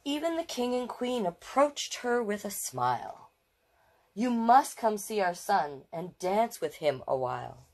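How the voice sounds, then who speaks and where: A woman with a mid-range voice reads a children's story aloud as a storytime host. Her delivery is expressive, and she slips into affectionate, conversational asides, often repeating a phrase. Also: medium pitch, unhurried. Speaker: storytime host reading picture books.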